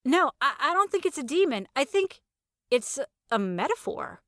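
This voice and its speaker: Woman acting excitable sarcastic young heroine, high voice, swings all over, theatrical, close dry sound. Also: moderate pace, dry recording. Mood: incredulous, excited. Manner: emphatic, theatrical delivery. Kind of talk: first-person audio fiction narration with acted dialogue.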